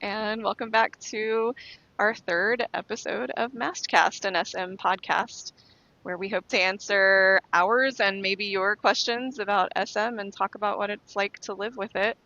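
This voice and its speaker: A woman's voice: medium pitch, sharp tone, no room sound. A woman co-hosting a podcast in a casual, conversational way, on a dry, slightly dull recording. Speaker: podcast co-host.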